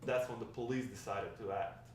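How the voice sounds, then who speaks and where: A man's voice: medium pitch, fairly even intonation, brisk, lightly acted. Wry old grandfather recounting shady past, played by a man, table read, heard from afar in a roomy, faintly noisy space. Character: wry old grandfather spinning tales of his drug-dealing past. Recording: distant, very echoey room, faint noise.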